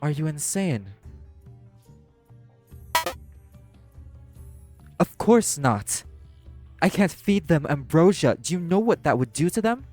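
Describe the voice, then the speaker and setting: A man's voice: on the high side, very animated, normal speed, over music. A man acting in an audio roleplay as a cynical, world-weary socialite who runs a club. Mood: alarmed, indignant. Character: apathetic, cynical socialite club manager and parent.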